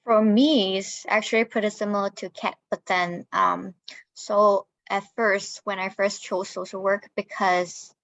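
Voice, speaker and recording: A woman's voice: medium pitch, steady tone, unhurried, vocal fry, fairly bright tone, dry recording. A young woman, talking casually as a guest speaker on a panel. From Hong Kong. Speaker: guest speaker, social worker.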